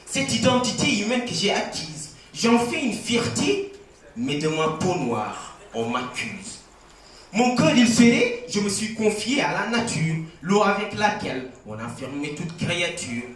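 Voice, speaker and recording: A man's voice: high voice, big pitch swings, a little slow, fairly bright tone. A man reciting slam poetry in a solemn, impassioned, declamatory manner. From Mauritania. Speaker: slam poet. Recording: very echoey, distant mic, faint noise.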